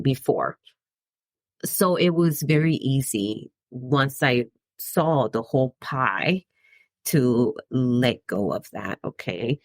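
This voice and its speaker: Gravelly-voiced woman musing on her podcast. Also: a bass voice, no echo. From Dominican Republic. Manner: conversational, tags points with "right?", chuckles between thoughts. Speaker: podcast host. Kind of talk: reflective solo podcast monologue.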